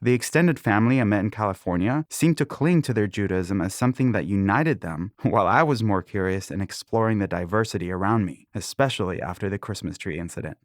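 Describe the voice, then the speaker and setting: A man in his forties, born in Israel, calmly reading aloud from his own book as a podcast host, with an occasional soft chuckle. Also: lowish voice, fairly quick, few pauses, no echo, studio quality.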